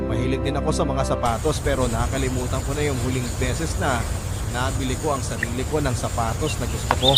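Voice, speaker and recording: A man reading a first-person scripted story as the narrator of a radio drama. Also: medium pitch. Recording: loud music under the voice. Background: loud background music.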